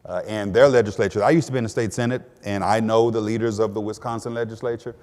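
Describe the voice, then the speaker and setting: A man from Texas, speaking as a guest on a political panel, halting and repeating his words with many fillers. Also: on the low side, steady tone, a little mellow, big-room sound. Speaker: panel guest, attorney general. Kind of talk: panel discussion.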